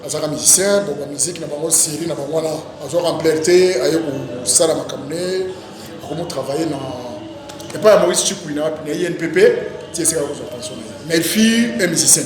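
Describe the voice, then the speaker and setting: A man with a Congolese accent, an actor being interviewed, talking casually in an animated, rambling way and repeating his phrases. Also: on the high side, a little fast, a crowd in the background. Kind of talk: casual interview, recounting career. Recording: very echoey reverberant room, music behind. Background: music.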